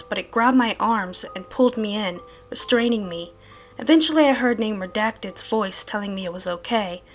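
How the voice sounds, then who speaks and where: A woman performs a troubled woman recounting her night terrors for a horror story. Her voice sits at a medium pitch and moves through the diary in a measured, first-person way. Faint music plays behind her. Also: close to an everyday voice. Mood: uneasy recounting. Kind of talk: scripted horror story narration.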